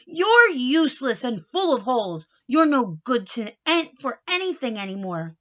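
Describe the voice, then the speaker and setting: A woman's voice: sits high, sing-song, moderate pace, a bit bright, muffled recording. A woman voicing a fussy, indecisive storybook uncle who argues with himself in dramatic exclamations. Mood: angry, scolding.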